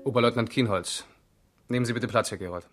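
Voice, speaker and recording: A man's voice: medium pitch, fairly quick, loud music behind the voice, close to an everyday voice. A man acting in a 1970s German radio crime drama as a controlled police lieutenant who questions formally and insistently. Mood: dry, formal.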